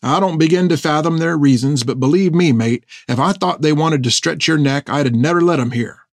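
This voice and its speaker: A man voicing a gruff, earnest sailor sergeant in an audiobook, talking in folksy seafaring dialect to old mates. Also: a little high, a little fast, no echo, clean studio sound, pitched above the performer's own voice. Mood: earnest, persuasive. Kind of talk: audiobook character dialogue.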